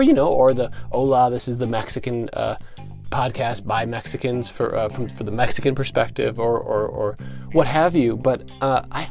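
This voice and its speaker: A man with a Midwestern American accent hosting a solo comedy podcast, speaking in a halting, stammering, mock-earnest and self-important deadpan. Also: medium pitch. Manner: halting, stammering delivery with repeated words. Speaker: podcast host.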